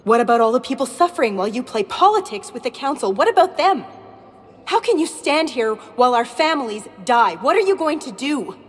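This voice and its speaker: An actress playing a confrontational, impassioned news reporter in a video game, pointed and emotional. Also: female voice, sits high, expressive, fairly quick, breathy edge. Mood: accusing. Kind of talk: video game dialogue acting. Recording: hall reverb, faint music behind.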